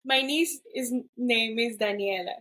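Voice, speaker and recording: A woman's voice: on the high side, measured pace, pushed and bright. A woman podcast host, talking in a casual, searching way, often restarting her sentences. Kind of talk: casual interview, reflective intro.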